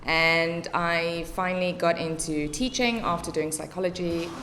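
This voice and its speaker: A young woman in her twenties with a South African accent, chatting as a podcast guest, laughing easily and filling pauses with "um". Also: fairly low pitch, fairly even intonation, fairly bright tone, slight background noise. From Cape Town, South Africa. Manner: chatty, laughs often, many fillers. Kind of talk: casual interview.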